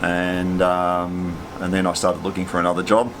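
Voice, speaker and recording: A man's voice: sits low, completely flat delivery, normal speed. An Australian man in his sixties, an air traffic controller, explaining things casually in a laconic, matter-of-fact way.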